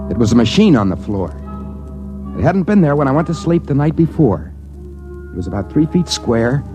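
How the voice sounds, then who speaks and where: A man's voice: mid-range voice, close to an everyday voice. An actor playing a broke man in a cheap hotel, narrating and talking with wry, flustered asides in a 1950s radio drama. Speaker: narrator and lead character. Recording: dull old radio recording with music.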